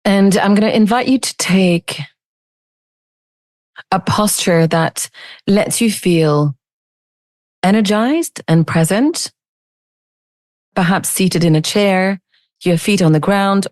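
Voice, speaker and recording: A woman leading a guided meditation as a teacher, speaking in a soothing, unhurried, gently inviting way. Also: lowish voice, dry recording.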